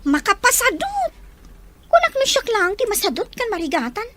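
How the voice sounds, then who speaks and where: A lazy teenage girl, voiced by a woman in a radio drama. She whines in an extremely high, swooping voice over faint background noise. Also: huge pitch swings, moderate pace, bright, forceful tone, full character voice. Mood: sulky. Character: lazy, whining teenage schoolgirl daughter. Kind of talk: Filipino radio drama acting.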